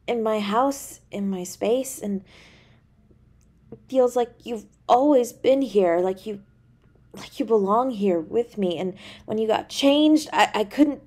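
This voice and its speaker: A woman's voice: fairly high pitch, a little mellow. A woman playing a tender, easily flustered young girlfriend in an intimate audio roleplay, speaking in a hesitant, stammering way. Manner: hesitant, stammering, halting delivery.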